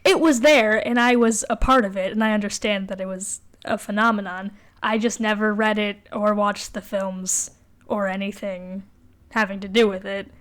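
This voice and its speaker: A woman's voice: mid-range voice, fairly even intonation, moderate pace, a bit bright. A woman co-hosting a podcast in casual comedic banter, sarcastic and self-deprecating, happy to swear. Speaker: podcast co-host.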